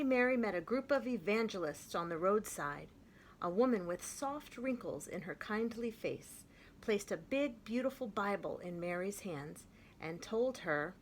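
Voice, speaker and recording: A woman reading a children's picture book aloud, with gentle, expressive and clear storytelling. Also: medium pitch, a little hiss or hum.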